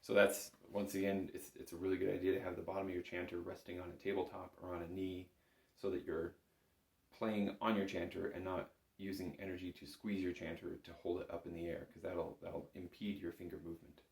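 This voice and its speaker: Male music instructor, deep voice, informal step-by-step teaching delivery, echoey room sound. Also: flat delivery, brisk, gravelly. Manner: informal, instructive, counting out notes. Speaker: bagpipe instructor.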